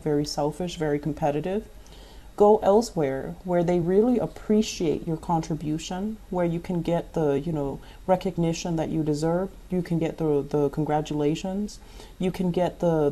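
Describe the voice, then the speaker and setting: A woman's voice: deep voice, mellow. A woman giving a tarot reading, advising the listener earnestly and repeating phrases for emphasis. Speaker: tarot reader.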